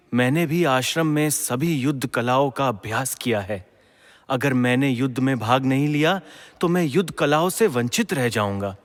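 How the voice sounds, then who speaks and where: A man's voice: mid-range voice, fairly bright tone. A man acting an earnest, brave and dutiful young prince in a mythological audio drama, declaiming with formal respect and passion. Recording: long hall-like reverb.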